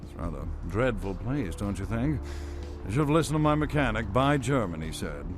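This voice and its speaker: Fussy, genteel gentleman over music. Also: fairly low pitch, sing-song, a little fast. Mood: uneasy, annoyed. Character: polite, fussy, well-mannered stranded gentleman motorist. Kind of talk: video game voice acting.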